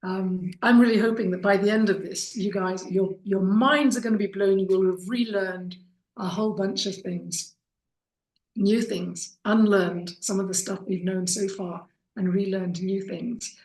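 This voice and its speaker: Middle aged lady from kenya teaching a course, earnest chatty talk w pauses, mid voice, pretty echoey room. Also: mid-range voice, fairly even intonation, darkish tone. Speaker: course instructor. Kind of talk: informal introductory talk to students.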